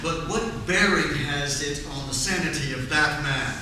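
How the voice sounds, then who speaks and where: A man's voice: on the high side, moderate pace, recorded from a distance, natural voice. A man playing a formal, impatient prosecuting attorney in a stage radio play. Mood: impatient, pompous. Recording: echoey stage from afar, faint noise.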